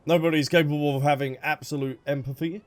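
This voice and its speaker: A woman's voice: sits low, steady tone, fast talker. A woman giving casual, reactive playthrough commentary, thinking aloud in a tentative way. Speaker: game streamer.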